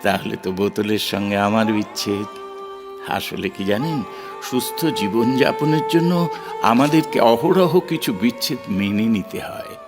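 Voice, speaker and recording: A fairly low-pitched, gentle man, played by an actor in an audio drama. He is earnest and polite, consoling with a philosophical, slightly flustered concern. Music sounds clearly behind him. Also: moderate pace, natural voice. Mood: gently philosophical. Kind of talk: Bengali audio drama acting.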